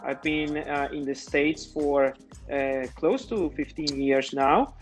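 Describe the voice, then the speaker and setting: A man in his forties with a Peruvian Spanish accent, explaining things in halting phrases as a doctor being interviewed. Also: on the high side. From Peru. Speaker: interview guest, infectious diseases doctor. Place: indoor room, video call. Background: music.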